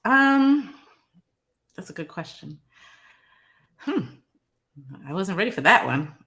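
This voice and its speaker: A woman from New York, a psychologist guest on a video call, calm and measured with frequent pauses. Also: mid-range voice. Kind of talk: interview over video call.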